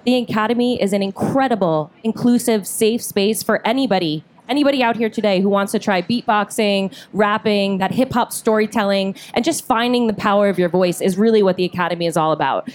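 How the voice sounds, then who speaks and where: A woman's voice: medium pitch, brisk, hardly any pauses. A woman beatboxer and teacher speaking on a panel, bubbly and enthusiastic. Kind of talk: upbeat panel talk.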